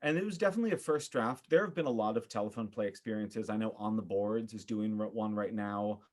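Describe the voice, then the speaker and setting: A man speaking conversationally as a podcast guest about theater, thoughtful and halting, often repeating words as he searches for phrasing. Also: lowish voice.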